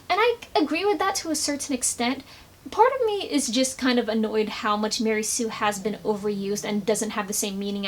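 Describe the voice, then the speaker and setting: A woman giving casual, opinionated fan commentary, with an emphatic, exasperated ranting manner. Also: on the high side. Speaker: video essayist, fan commentator.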